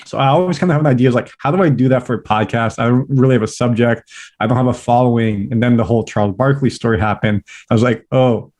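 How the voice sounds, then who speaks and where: A young man from California, a podcast guest, chatting upbeat and fast with lots of fillers. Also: medium pitch, darkish tone. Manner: upbeat, talks fast in bursts, many "like" fillers. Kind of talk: casual interview chat.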